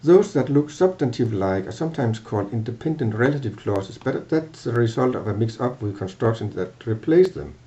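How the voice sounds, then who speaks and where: An older man with a Danish accent explaining grammar in a halting, self-correcting way, filmed at a webcam in a small office. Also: mid-range voice, expressive, warm tone. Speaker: language teacher. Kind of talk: lecture to camera.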